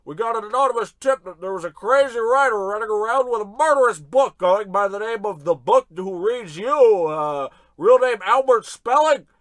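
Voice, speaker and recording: Man as a high-voiced, comically frank tough. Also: expressive, moderate pace, hardly any pauses. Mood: matter-of-fact. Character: excitable, earnest goon or cop, comically frank. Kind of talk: old-time radio play comedy acting.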